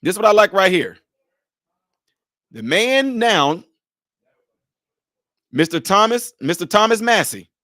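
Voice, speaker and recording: Emphatic high-voiced male commentator. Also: measured pace, long pauses, no room sound, clean studio sound. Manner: animated, emphatic, rhetorical questions. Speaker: commentator reacting to a video clip. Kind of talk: casual live-stream commentary.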